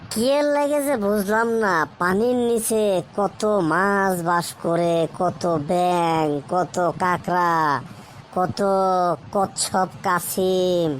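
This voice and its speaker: A woman's voice: medium pitch, slight background noise, natural voice, rough recording. A woman voicing a dim-witted, eager schoolboy in a comic cartoon, with a rural Bangladeshi Bengali accent and a repetitive, muddled manner. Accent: Bangladeshi Bengali, rural colloquial. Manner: slow, repetitive, keeps seeking confirmation.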